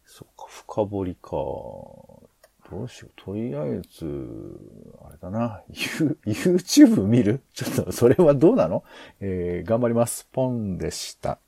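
Male podcast co-host, low and mellow, slightly husky, rambling casually through ideas. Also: huge pitch swings, unhurried, no room sound. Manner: hesitant, rambling, thinking aloud. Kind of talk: casual planning discussion.

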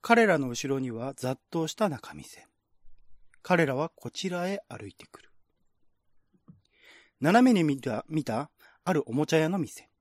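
A man with a fairly high, very lively voice hosts and narrates a radio drama program. The sound is dry and close. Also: measured pace, pauses often, no room sound. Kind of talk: casual hosting and dramatic script reading.

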